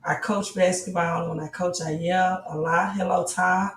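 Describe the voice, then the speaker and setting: Hoarse raspy woman, casual livestream host, roomy distant mic with faint music under it. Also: lowish voice, steady tone, a little slow, slight background noise. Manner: greets viewers, urges likes and subscribes.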